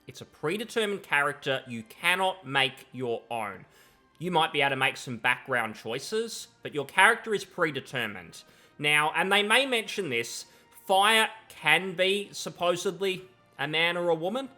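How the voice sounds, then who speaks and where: Young male reaction commentator: forceful bright delivery, slightly high voice, dismissive and sardonic. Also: lively intonation, normal speed, soft background music. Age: young adult. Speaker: video commentator. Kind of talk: casual opinionated reaction commentary. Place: small plain room indoors. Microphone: close boom-arm mic, headset on.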